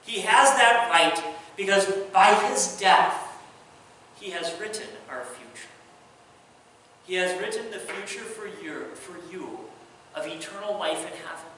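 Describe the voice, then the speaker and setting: A man in his thirties preaching a sermon from the pulpit, earnest, moving between hushed lines and raised emphasis. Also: sits high, expressive, unhurried, a little hiss or hum. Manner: earnest, swings from soft hush to raised emphasis. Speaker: pastor. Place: large church sanctuary, at the pulpit. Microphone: distant pulpit mic. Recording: echoey church from far off.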